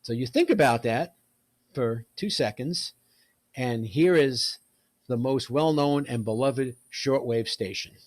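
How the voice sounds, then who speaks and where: An older man from New York City presents casually, as if swapping stories. His talk is chatty and colloquial, in a mid-pitched voice with a dry, close sound. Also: slow pace, dry recording. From New York City, United States. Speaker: presenter, radio hobbyist.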